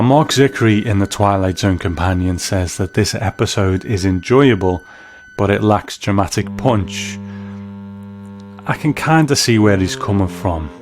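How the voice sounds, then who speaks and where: A man hosting a show, giving a measured, thoughtful scripted review in a reflective narrating style. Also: on the low side. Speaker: host and narrator.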